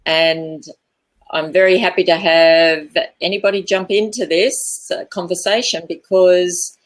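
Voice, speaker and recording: An Australian woman hosting an informal online discussion, speaking in measured, tentative phrases. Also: fairly low pitch, fairly even intonation. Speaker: host.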